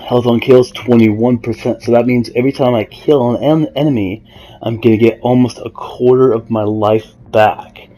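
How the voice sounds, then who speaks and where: A man giving a casual, explanatory game walkthrough in a measured, somewhat halting way. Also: mid-range voice, steady tone, mellow, poor audio. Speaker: gamer presenting a character build guide. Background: music.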